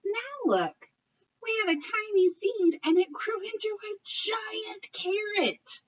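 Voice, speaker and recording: A woman reading a picture book aloud as an educator, talking to children and parents in an expressive, encouraging, questioning way. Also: extremely high pitch, slow pace, far from the mic, lo-fi sound.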